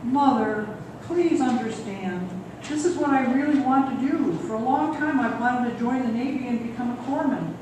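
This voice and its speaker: A woman's voice: a little high, moderate pace, few pauses, natural voice. Woman playing earnest young daughter, echoey and distant. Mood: pleading. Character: earnest young daughter eager to join the Navy. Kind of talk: amateur radio play acting. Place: echoey room at a senior center. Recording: roomy echo, distant mic, clear noise.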